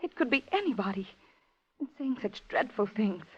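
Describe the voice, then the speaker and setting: An anxious, desperate wife caught in intrigue, in fifties radio drama style. Her voice is fairly high, tense and pleading, on a slightly muffled old recording. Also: sing-song, normal speed, husky. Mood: distraught. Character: anxious, desperate married woman, secret communist. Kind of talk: 1950s radio drama acting.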